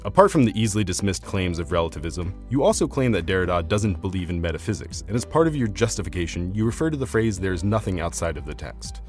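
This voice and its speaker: A man's voice: low voice, very animated, fast talker, hardly any pauses, darkish tone, faint music behind. A man reading scripted, argumentative narration in an articulate, formal, academic manner. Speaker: video essayist, narrator.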